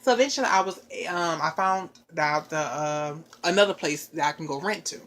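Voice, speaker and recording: A woman telling a long personal story in casual chat, rambling and animated, with frequent asides to her listeners. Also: lowish voice, a little fast, a little hiss or hum.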